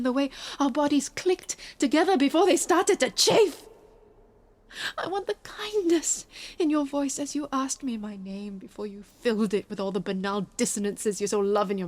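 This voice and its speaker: A melancholy, intense heartbroken lover. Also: female voice, fairly high pitch, lively intonation, moderate pace. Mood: yearning, intense. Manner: intense, poetic, confessional. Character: melancholy, possessive heartbroken lover, an actor by trade. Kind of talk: intimate audio drama monologue and dialogue.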